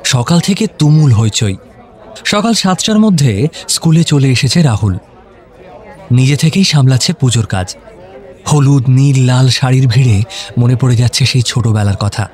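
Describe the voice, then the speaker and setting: A man's voice: mid-range voice, lively intonation, moderate pace, long pauses, darkish tone. A man narrating a scripted romantic audio story.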